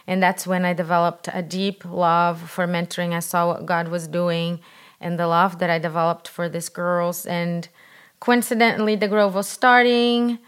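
A woman from Brazil with a Brazilian accent, leading a mentoring program and talking earnestly on a podcast, a little halting. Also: lowish voice, little pitch movement, smooth. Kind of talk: podcast conversation.

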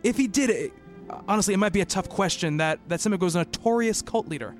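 A man's voice: high voice, fast talker, close to an everyday voice. A man playing a worried, rational researcher in an eerie radio play, speaking as a thoughtful narrator and character over music. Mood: hesitant, concerned. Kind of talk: radio play dialogue and first-person narration.

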